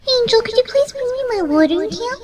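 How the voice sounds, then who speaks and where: A man voicing a gentle, timid young female pony in a high, soft falsetto for a story reading. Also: lively intonation, a crowd in the background. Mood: happy, sweet. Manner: sweet, drawn-out, gasping when upset.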